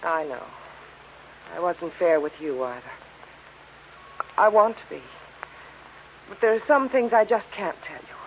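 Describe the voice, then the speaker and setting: A woman's voice: lowish voice, very animated, a little slow, long pauses. A secretive pleading lady from an old radio mystery, earnest and melodramatic, very thin tinny sound w some hiss. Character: evasive, pleading woman hiding secrets. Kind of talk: 1950s radio drama acting.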